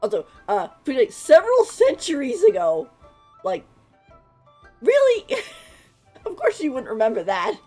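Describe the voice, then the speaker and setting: A woman's voice: sits high, wildly swooping pitch, measured pace, long pauses, dark tone. A woman streaming a video game, giving casual, informal and giggly live reactions. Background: faint game music.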